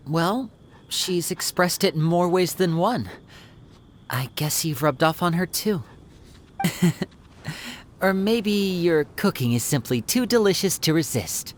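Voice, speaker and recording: A woman voicing a smooth, teasing young stage magician in an anime game. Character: suave, playful young stage magician, affectionate older sibling. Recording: faint background rumble.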